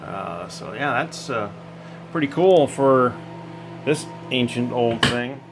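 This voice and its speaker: A man's voice: medium pitch, lively intonation, slow pace, music in the background. A man giving a casual, off-the-cuff technical demonstration in a folksy, hesitant way, thinking aloud as he goes. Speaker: hobbyist demonstrator.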